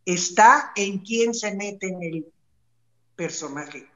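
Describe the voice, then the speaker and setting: A woman's voice: medium pitch, slow. A middle-aged Mexican woman giving casual, blunt commentary as a talk show panelist on a video call. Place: indoor room, on a video call. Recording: slightly dull video-call audio.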